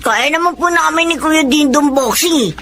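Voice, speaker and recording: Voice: high voice, moderate pace, pushed and bright, a little hiss or hum. A performer playing an eager, respectful young boy in a Filipino radio drama, polite and deferential. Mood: respectful, reassuring.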